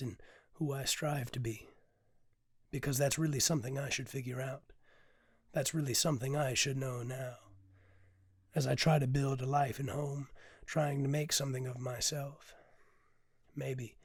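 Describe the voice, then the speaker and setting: A hoarse man with a raspy voice, a podcast host and poet who reads a poem and then talks in a candid, rambling way, swearing casually. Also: medium pitch, flat delivery, normal speed, dry recording, clean studio sound. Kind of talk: poetry reading then casual reflective talk.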